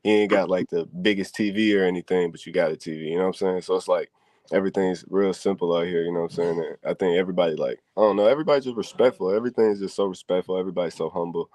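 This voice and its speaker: A young man with a very low voice talks casually as an interview guest. His slang is laid back, and "you know what I'm saying" caps nearly every thought. The sound is dry and close, with no room around it. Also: rapid-fire, darkish tone, dry recording. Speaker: professional wrestler, guest.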